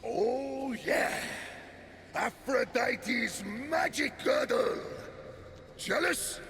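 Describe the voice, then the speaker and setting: An actor playing a vain, melodramatic and cowardly Greek god of war in a video game, with a theatrical, exclamatory delivery. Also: male voice, very high voice, expressive, very slow, fairly bright tone, a little hiss or hum, heavily acted. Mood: excited, bossy. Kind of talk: animated video game cutscene acting.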